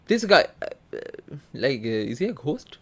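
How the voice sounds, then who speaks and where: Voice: medium pitch, very animated, normal speed. A game streamer giving casual live commentary, hesitant and muttering reactions to themselves. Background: game music.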